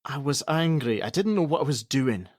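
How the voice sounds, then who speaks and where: A man's voice: medium pitch, lively intonation, normal speed, darkish tone, no room sound, clean studio sound, natural voice. An actor playing a defensive, argumentative middle-aged father in a naturalistic radio play, sarcastic and given to swearing. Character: angry, defensive, domineering father of a pregnant teenage girl.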